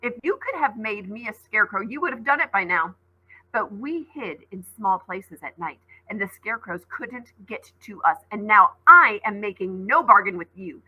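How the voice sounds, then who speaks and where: A woman's voice: mid-range voice, husky, muffled recording. A woman voicing a clever, defiant young girl heroine in a storybook, bold and emphatic.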